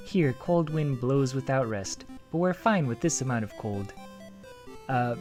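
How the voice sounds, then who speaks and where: A man's voice: medium pitch, a little slow, faint music behind. A man hosting a video that compares game translations, reading lines of game text aloud and breaking off to comment. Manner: lively, jokey, breaks off to comment with sudden excitement.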